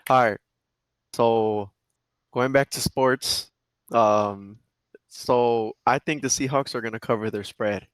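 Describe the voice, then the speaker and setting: A man in his twenties co-hosting a podcast, chatting casually and relaxed about sports bets. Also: mid-range voice, slow, pauses often, smooth, no echo. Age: 20s. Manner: relaxed, laid-back, lots of fillers. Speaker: podcast co-host.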